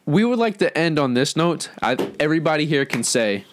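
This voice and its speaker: Higher-pitched man hosting casual podcast. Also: very animated, a little fast, slight background noise. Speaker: host. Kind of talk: casual entertainment podcast chat.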